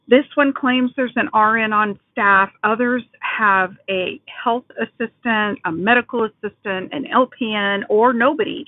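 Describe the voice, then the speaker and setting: A woman's voice: medium pitch, phone-quality sound. A middle-aged woman, a nurse, explaining in a friendly way and asking practical questions as she tours a care home. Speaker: nurse host. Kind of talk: informative vlog narration and tour questions.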